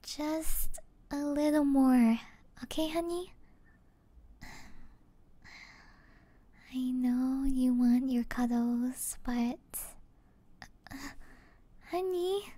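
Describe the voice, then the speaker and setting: A woman playing an affectionate, gently flustered young gamer girlfriend in a soft-spoken, hesitant ASMR roleplay, often slipping into breathy murmurs. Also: high-pitched, no room sound. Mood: flustered, coaxing.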